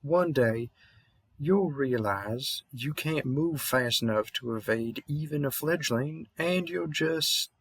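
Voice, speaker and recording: A man's voice: medium pitch, slow, breathy edge, no room sound. A man playing a weary, kindly veteran vampire hunter in a roleplay audio drama, with a Southern US accent and a rambling, slightly mumbled, reassuring manner. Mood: wry, tired.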